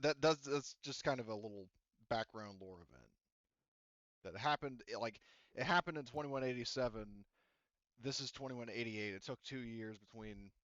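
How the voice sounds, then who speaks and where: A man's voice: mid-range voice, fairly quick, fairly bright tone, no echo. Casual man game master, lo-fi dry sound. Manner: casual, explanatory, rambling fillers. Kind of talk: explaining game lore.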